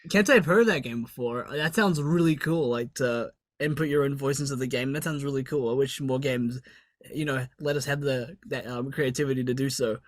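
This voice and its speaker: A man's voice: mid-range voice, brisk, dry recording, clean studio sound. A young man from New Zealand with a New Zealand accent, hosting a casual interview and talking hesitantly with lots of fillers. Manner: hesitant, lots of fillers, laughs between phrases. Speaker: podcast host.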